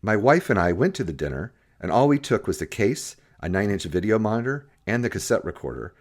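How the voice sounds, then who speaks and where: A man reading an audiobook as narrator, telling a story in an animated, scripted style with acted dialogue. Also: lowish voice, a little fast.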